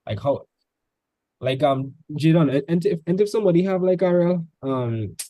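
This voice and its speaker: A man's voice: a little high, normal speed, dark tone, no echo. A male teacher tutoring students in a casual session, full of "like" fillers, quizzing them with questions as he goes.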